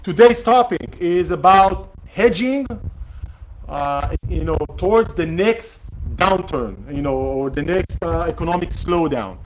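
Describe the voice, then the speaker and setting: Hebrew-speaking man, halting live talk. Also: a little high, lively intonation, a little hiss or hum, poor audio. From Israel. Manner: hesitant, many fillers and restarts. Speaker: real estate investor hosting a Q&A session. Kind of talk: casual live-streamed talk.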